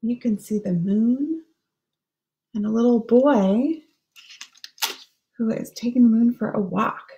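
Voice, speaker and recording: Slow, high-pitched woman reading a picture book. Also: muffled recording, a little hiss or hum. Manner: gentle, explaining pictures between read lines. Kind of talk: children's picture book read-aloud. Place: small room at home.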